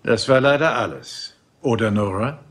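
An actor dubbing a sly, evasive Hollywood gossip reporter. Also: male voice, fairly low pitch, normal speed, fairly bright tone. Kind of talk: German-dubbed crime drama.

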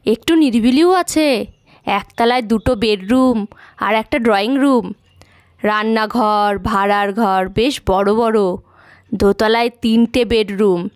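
Audio drama: actress as headstrong young wife a city household, high-pitched, emphatic, dry studio sound. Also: female voice, no room sound. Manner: eager, emphatic, chiding. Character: excited, stubborn young Bengali wife and mother. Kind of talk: audio story acting.

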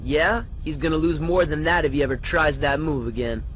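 A man playing a gruff, sarcastic American tough teenage boy sidekick in an animated video game. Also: on the high side, a little fast, a little hiss or hum, close to an everyday voice. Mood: gruff, annoyed.

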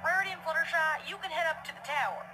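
A woman voicing an earnest young female pony in an expressive fan audio drama. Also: very high voice, rapid-fire. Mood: earnest, planning. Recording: loud music behind the dialogue.

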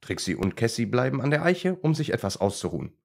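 A man's voice: mid-range voice, sing-song, quick pace, vocal fry. A man narrating a scripted story for children as a storyteller.

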